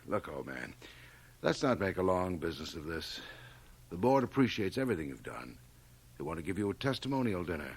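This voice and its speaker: An actor playing a blustering board chairman of about fifty in a radio drama, with an emphatic, sometimes halting delivery. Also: deep voice, sing-song, slightly rough, exaggerated voice. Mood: awkward, pressured. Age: middle-aged. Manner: emphatic, hesitant then blustering. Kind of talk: 1980 radio drama acting. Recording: home cassette transfer of radio broadcast.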